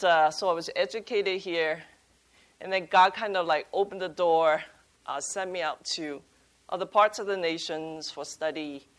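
Woman, born in China, ministry leader; lowish voice, hesitant but cheerful delivery. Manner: halting, many fillers, upbeat. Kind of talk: workshop introduction.

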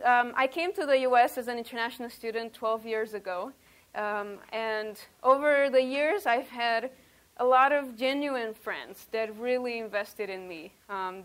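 A woman in her thirties leads a group workshop. Her voice sits high, and she talks in an outgoing, chatty way with frequent ums. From Romania. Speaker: workshop leader. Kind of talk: leading a church workshop. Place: workshop room, indoors.

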